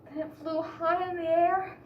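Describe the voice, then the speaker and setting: A woman reading a picture book aloud expressively to children, pausing to explain and ask the listeners questions. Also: extremely high pitch, fairly even intonation, highs cut off. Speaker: children's story reader. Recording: roomy echo, distant mic, background hiss.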